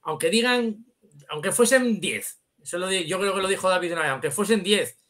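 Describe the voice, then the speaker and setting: Man, middle-aged, Spain accent, higher-pitched, bright forceful voice, casual anecdotes, video call. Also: a little fast. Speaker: guest. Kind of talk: casual chat, anecdote telling. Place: indoor room, on a video call.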